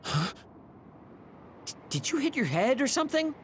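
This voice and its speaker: Hot-headed young guy, very animated pitch, abrasive, scornful, anime game dub, faint music. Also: moderate pace. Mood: incredulous. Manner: brash, abrasive.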